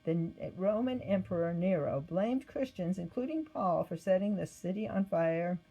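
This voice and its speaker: Woman, storybook narrator, lowish pitch, husky, expressive read-aloud. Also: moderate pace, lo-fi sound. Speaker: storybook reader. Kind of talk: scripted narration of a children's Bible story.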